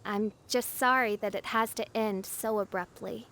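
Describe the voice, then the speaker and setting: A woman's voice: medium pitch, steady tone, moderate pace, fairly bright tone, lightly acted. A woman playing a theatrical teenage girl adventurer who talks like a grandiose princess in a video game, with pompous archaic diction. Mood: sincere, plain. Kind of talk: video game cutscene voice acting.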